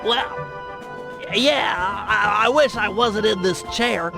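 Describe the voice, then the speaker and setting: A man's voice: sits high, slow pace, creaky, music in the background, heavily acted. A man voicing a nerdy, earnest, touchy young turtle, the brains of a cartoon video game team. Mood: wistful, dejected.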